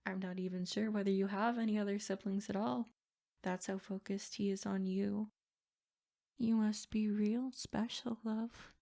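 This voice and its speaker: A woman's voice: medium pitch, steady tone, a little slow, gravelly, mellow. A woman voicing a sadistic, possessive princess in an ASMR roleplay, speaking in formal, haughty phrases with silky menace. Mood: smug, threatening. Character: sadistic, possessive yandere princess, smug captor. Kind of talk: ASMR roleplay, scripted character monologue.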